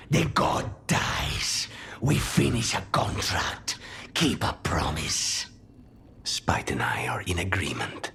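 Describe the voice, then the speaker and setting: A grim, honour-bound killer from a fantasy game. The voice sits very low and comes out hushed and breathy. Each phrase is measured and solemn, over a faint bed of ambient noise. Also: male voice, full character voice. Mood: grim resolve. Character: brooding contract assassin with a demon inside, grim, honour-bound. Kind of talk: dramatic video game acting.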